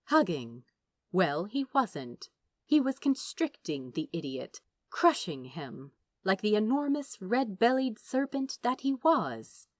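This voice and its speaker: Animated woman narrating a story. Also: medium pitch, slow pace, no echo, clean studio sound. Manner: expressive, dramatic storytelling. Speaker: audiobook narrator. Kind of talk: scripted fan fiction narration.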